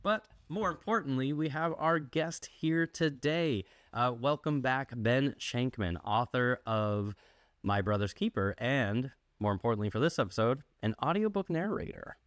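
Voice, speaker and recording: A man's voice: medium pitch, lively intonation, a little slow, a bit bright, no echo. A man hosting a podcast, interviewing a guest in an informal, plain-spoken way. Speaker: podcast host. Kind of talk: casual interview.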